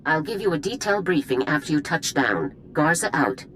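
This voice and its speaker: An actress playing a seasoned female army general in a video game, with an authoritative, formal and measured manner. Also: low voice, big pitch swings, a bit bright, a little hiss or hum, rough recording. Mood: commanding, satisfied.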